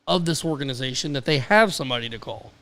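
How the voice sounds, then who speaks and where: A man's voice: mid-range voice, expressive, quick pace, fairly bright tone. A man with a Southern American accent, co-hosting a casual radio podcast with teasing, upbeat banter. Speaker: podcast co-host.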